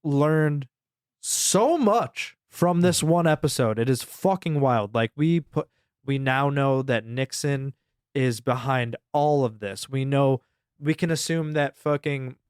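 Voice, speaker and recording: A man's voice: mid-range voice, slow pace, clean tone, no room sound, clean studio sound. A man co-hosting a podcast, recapping a story in a rambling, casual way and swearing freely. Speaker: podcast co-host.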